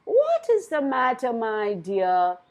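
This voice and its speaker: A merry, hunched old fairytale woman, as a woman storyteller plays her, squeaky and kind. Her speech is slow, with pitch that moves up and down a lot. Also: wildly swooping pitch, exaggerated voice. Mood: concerned, kindly.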